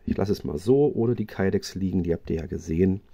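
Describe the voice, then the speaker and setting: A man reviewing knives in a chatty, casual way, often pausing with fillers and restarting his sentences. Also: sits low, gravelly, warm tone, no echo. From Germany. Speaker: knife reviewer. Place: indoors at a table, hands-only video.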